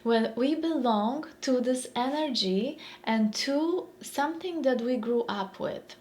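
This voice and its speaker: A woman's voice: high voice, takes it slowly, darkish tone. A woman in her forties with a Polish accent, giving a reflective motivational talk and repeating phrases as she searches for words. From Poland. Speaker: mindfulness speaker.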